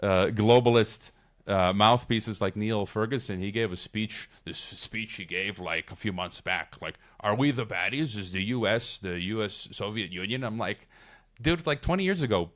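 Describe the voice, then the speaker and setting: A man's voice: on the low side, normal speed, a bit bright. A middle-aged man from Chicago, a podcast guest, talking casually in a rambling, digressive way with many restarts. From Chicago, United States. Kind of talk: casual interview conversation.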